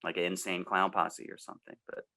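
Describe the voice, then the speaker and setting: A man's voice: deep voice, little pitch movement, a little fast, sharp tone. An American man in his thirties chatting in an informal, rambling way as a co-host on a music show. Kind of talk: casual chat.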